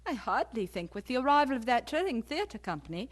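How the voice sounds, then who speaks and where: A woman's voice: fairly high pitch, a little fast. An actress playing a worried middle-aged mother, puzzled and fretful, in an audio drama. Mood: dismayed. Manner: concerned, expressive.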